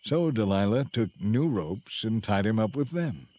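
Lowish-voiced male Bible story narrator. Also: expressive, measured pace, mellow. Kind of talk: scripted dramatized Bible narration.

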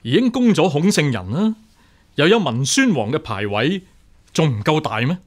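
A man with a Hong Kong Cantonese accent playing a stern, righteous imperial examiner in a radio drama, forceful and oratorical. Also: fairly high pitch, sing-song, moderate pace. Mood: indignant. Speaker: actor.

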